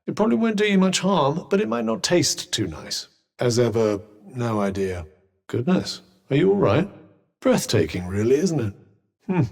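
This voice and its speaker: A man's voice: mid-range voice, gravelly, a little mellow, in a large hall. An actor voicing a witty, sardonic male bracelet companion in a video game, speaking with a dry, teasing manner.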